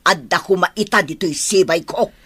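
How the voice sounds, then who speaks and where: A woman's voice: fairly low pitch, normal speed, fairly bright tone, breathy edge, no echo. A woman voicing a worried middle-aged mother with an Ilocano accent, pleading for her daughter in a radio drama. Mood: reproachful, worried. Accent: Filipino, Ilocano. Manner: pleading, reproachful.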